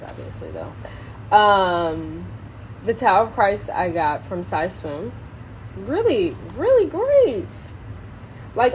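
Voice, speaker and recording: A woman on a casual solo livestream, halting and thinking aloud as she chats and reads from a book. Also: mid-range voice, darkish tone, low-quality recording. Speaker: social media live streamer. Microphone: phone propped up in a window. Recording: clear background noise, ambient sound.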